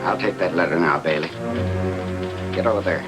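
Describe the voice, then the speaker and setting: An actor playing a vengeful, cornered husband in an old film noir radio drama, speaking in terse threats and commands. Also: male voice, low voice, normal speed, lightly acted. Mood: wary, menacing. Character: menacing, vengeful husband with a gun, cornered and volatile. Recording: old radio drama with loud music behind.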